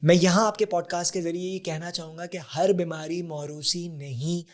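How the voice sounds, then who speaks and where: A man's voice: a little high, steady tone, moderate pace. This is a man, a guest on a conversational podcast. He talks in an earnest, explanatory way and often drops English terms into his sentences. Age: 40s. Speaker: podcast guest, health advocate. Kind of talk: conversational interview.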